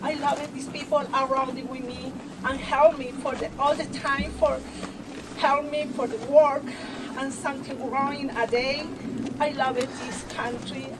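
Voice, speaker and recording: Tearful Chilean woman, high voice, distant. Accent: Chilean Spanish. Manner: halting, broken English, effusive. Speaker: new citizen giving a speech. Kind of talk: short emotional public speech. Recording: distant mic, loud background noise.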